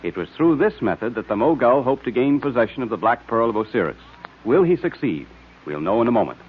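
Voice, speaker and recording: A thin, tinny vintage radio recording of a male announcer. His medium-pitched voice has a husky, unclear edge as he reads in the scripted style of old serials. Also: very animated, a little fast. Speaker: announcer and narrator.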